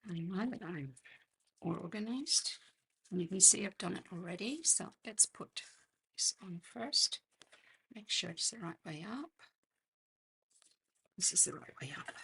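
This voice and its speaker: An Australian woman with a croaky voice hosts a casual live craft tutorial, chatting and murmuring to herself as she works. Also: lowish voice, animated, pushed and bright, low-quality recording. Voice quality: husky, croaky. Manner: unhurried, chatty, murmuring to herself while working. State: croaky voice, clearing throat. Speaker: host of a craft demonstration livestream.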